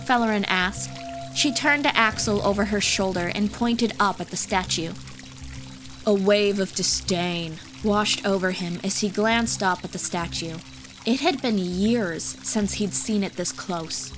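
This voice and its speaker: A woman's voice: mid-range voice, measured pace. A woman reading scripted fantasy story narration as the narrator of an audiobook. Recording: music behind the voice.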